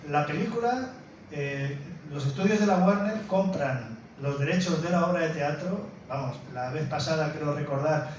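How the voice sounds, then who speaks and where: A man introduces a film in an informal lecture style, explaining with emphasis. His voice sits a little high. It is recorded from a distance in a very reverberant room. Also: normal speed. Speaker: film screening presenter. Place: indoor room, presenting to an audience. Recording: very echoey room, distant mic, faint noise.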